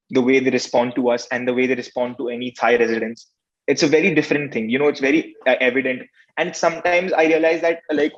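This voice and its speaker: A polite teenage man from India asks formal interview questions, stopping often on "uh". His voice is at a middling pitch. The recording sounds dull and lo-fi. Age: teens. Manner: polite, formal, with many "uh" fillers. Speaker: student interviewer.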